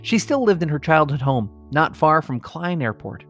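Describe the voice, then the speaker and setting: A man's voice: a little high, sing-song, normal speed, a bit bright, soft background music. A middle-aged man co-hosting a comedy podcast, moving between mock-solemn reading and casual chat. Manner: breaks into laughter while trying to read gravely.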